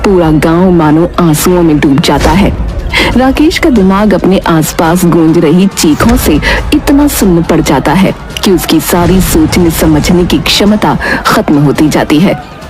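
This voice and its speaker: A woman's voice: on the low side, lively intonation, measured pace. A woman giving scripted, dramatic narration of a story. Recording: prominent background music. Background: music.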